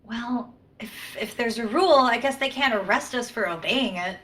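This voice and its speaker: A prim, brainy spaceship science officer acted by a woman with a high-pitched voice. She is huffy, indignant and a bit pouty, in a comedic sci-fi play. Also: moderate pace, a bit bright, natural voice. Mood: resigned.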